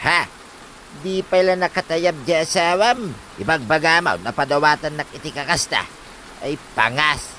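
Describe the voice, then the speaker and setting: An actor playing a doubtful village elder who grumbles at big promises, with an Ilocano accent. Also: male voice, fairly high pitch, moderate pace, sharp tone, slight background noise, poor audio. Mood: skeptical.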